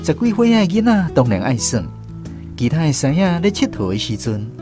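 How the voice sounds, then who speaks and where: A man's voice: a little high, very animated, a little fast. A man with a Taiwanese accent playing a reflective storyteller who narrates a radio drama. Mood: reflective narration. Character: reflective male storyteller recalling apprentice days. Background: guitar music.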